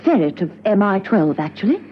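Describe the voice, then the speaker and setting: A woman's voice: mid-range voice, big pitch swings, a little fast, breathy edge. An actress playing a cool, polite and wry female secret agent working as a secretary in a radio drama. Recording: dull, noisy old radio recording.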